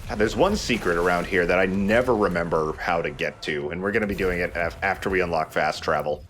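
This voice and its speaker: A man's voice: low-pitched, fairly quick, a bit bright, a little hiss or hum. A man hosting a let's play, giving casual, joking commentary on a video game. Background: game music.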